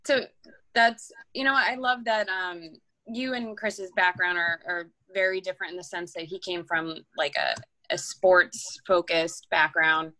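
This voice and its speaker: A woman hosting a casual podcast conversation, relaxed and teasing. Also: on the low side, unhurried, rough recording. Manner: relaxed, many "um" fillers, easy teasing. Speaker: podcast host.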